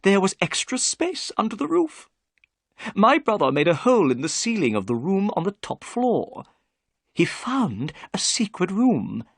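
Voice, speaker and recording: Narrator playing a fussy excitable gentleman, high eager chatter, breathy, dry close sound. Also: unhurried, dry recording. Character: nervous talkative gentleman.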